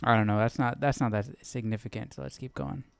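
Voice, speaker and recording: A man's voice: medium pitch, fairly even intonation, quick pace, vocal fry. A man hosting a casual live Bible study, reading aloud and thinking through it with questions to himself.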